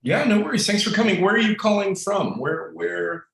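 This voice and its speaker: A man's voice: sits high, vocal fry, a bit bright, roomy sound. Rambling friendly male webinar host. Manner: friendly, rambling, quick asides. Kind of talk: casual webinar talk. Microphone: video call.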